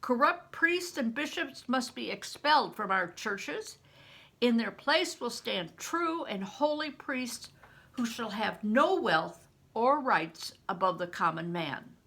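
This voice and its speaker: A woman's voice: fairly high pitch, animated, slow pace, gravelly, a bit bright, exaggerated voice. A woman reading a storybook voices a fiery male rebel priest of medieval England, thundering and exhorting in a declamatory way. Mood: fervent preaching.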